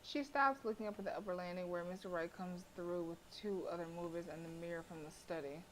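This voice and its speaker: A woman streamer reading a visual novel aloud as expressive live narration. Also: lowish voice, quick pace, a little hiss or hum, low-quality recording.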